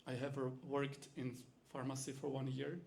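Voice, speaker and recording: A man's voice: mid-range voice, level tone, normal speed, creaky, a little mellow, a little hiss or hum. A man from the Czech Republic with a Czech accent, a university lecturer giving a teaching demonstration in English, his second language, with frequent fillers and restarts.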